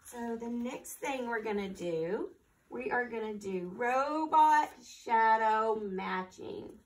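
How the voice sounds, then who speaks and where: A woman's voice: a little high, takes it slowly, far from the mic, poor audio. A woman hosting a storytime for young children, reading aloud and talking to them in simple phrases and questions. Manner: simple instructions and questions addressed to small children. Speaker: children's library storytime host.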